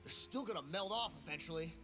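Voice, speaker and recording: An actor playing an aggrieved, hot-tempered gang member in a video game, arguing with bitter sarcasm. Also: male voice, a little high, fast talker, bright, forceful tone, faint music behind, rough recording. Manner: indignant, argumentative, sarcastic. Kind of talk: scripted video game voice acting.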